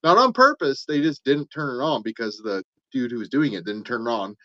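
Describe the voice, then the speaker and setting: A man's voice: mid-range voice, animated, fairly quick, pushed and bright, no echo. Hesitant man with dull dry sound. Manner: hesitant, self-deprecating storyteller. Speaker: guest. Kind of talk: casual chat. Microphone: off camera, remote call.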